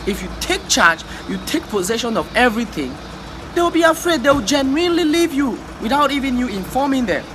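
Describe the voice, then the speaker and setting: A man's voice: very high voice, very animated, fairly quick, background noise. A man from Africa giving an impassioned motivational vlog monologue, repeating himself and stumbling over words when heated. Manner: fervent, repetitive, exhorting, stammers when heated. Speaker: vlogger.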